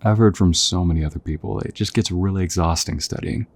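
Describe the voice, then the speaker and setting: Man acting a deep, husky young soldier, affectionate. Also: fast talker, no room sound, exaggerated voice. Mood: warm, reminiscing. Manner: gentle, affectionate, hesitant, chuckling conversational delivery. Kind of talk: intimate audio roleplay acting.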